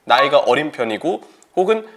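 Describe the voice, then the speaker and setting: A man's voice: on the high side, sing-song, normal speed. A man speaking as a football commentator in a casual sports discussion.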